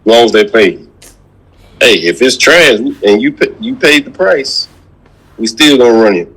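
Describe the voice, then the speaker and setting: Male co-host, husky mid-range voice with animated inflection, casual slangy backup commentary. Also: medium pitch, normal speed. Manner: slangy, echoes and backs up the other speaker. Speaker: reaction co-host. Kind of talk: casual chat.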